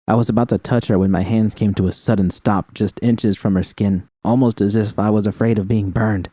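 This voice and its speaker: A man's voice: on the low side, steady tone, a little fast, dark tone, dry recording. A man reading a dramatic first-person story as scripted audiobook narration. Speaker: audiobook narrator of fan fiction.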